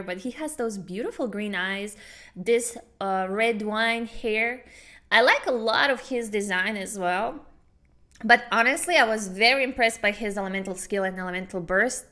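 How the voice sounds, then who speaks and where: A woman hosting a casual gaming reaction video, chatting in an excitable, giggly way. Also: on the high side. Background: game music.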